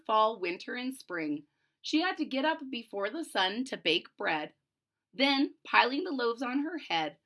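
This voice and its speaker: A woman reading a children's storybook aloud expressively, with deliberate, emphatic phrasing and simple explanations. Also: a little high, pushed and bright. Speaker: storybook reader.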